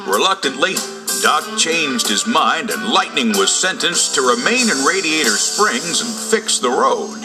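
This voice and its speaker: Animated male storybook narrator over loud music. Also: on the high side, measured pace, low-quality recording. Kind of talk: scripted children's read-along narration.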